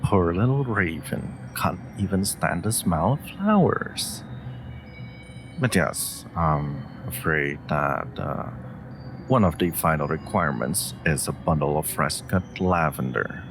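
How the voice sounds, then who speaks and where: A low-voiced, haughty wolf familiar acted by a man in a fantasy audio drama. He talks slowly and dryly, with smug, sharp-tongued bite and expressive swings of pitch. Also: over music, exaggerated voice. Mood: exasperated. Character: dry, haughty, clever male wolf familiar of a mage. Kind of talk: scripted audio roleplay acting.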